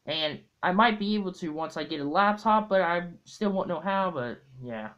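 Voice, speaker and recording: A young man talking casually to viewers in a halting, hesitant way, recorded at home on a tablet's built-in mic. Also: high voice, expressive, fairly bright tone, dull audio. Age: young adult. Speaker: amateur fandub video maker. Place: small room at home. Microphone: tablet built-in mic at arm's length.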